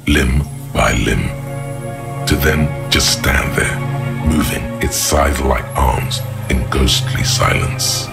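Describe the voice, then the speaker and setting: An actor playing a grave, observant inner-voice narrator in a video game, speaking in measured, portentous literary prose. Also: male voice, a bass voice, gravelly, loud music behind the voice, full character voice. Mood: hushed wonder, ominous.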